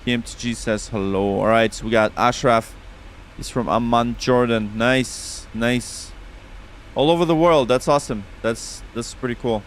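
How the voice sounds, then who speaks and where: Russian-accented male streamer, relaxed and chatty, mid-pitched voice. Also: normal speed, a little hiss or hum. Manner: relaxed, chatty, reads back radio calls. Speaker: flight sim streamer. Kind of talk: casual live-stream chat with radio calls.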